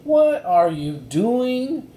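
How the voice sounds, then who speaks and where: A man voicing an eager, bold young girl in a storybook, excitable and urging. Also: extremely slow, full character voice. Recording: roomy echo, faint noise and rumble.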